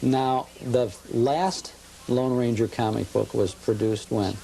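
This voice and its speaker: Man, host, curious questions, mid pitch, light background hiss. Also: steady tone, takes it slowly, creaky, mellow, low-quality recording. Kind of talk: casual interview and read commercial. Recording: faint noise, old cable TV audio.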